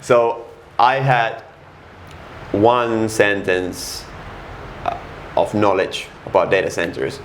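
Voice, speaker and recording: Low gravelly-voiced Finnish man. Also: slight background noise. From Finland. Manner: frank, halting, many restarts and fillers. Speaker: guest. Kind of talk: casual interview.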